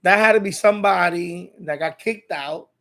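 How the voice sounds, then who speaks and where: A man's voice: sits high, normal speed, pushed and bright. A man hosting a casual live stream, talking informally to his chat in a rambling way and often repeating his phrases. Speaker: live stream host of a radio hobby channel.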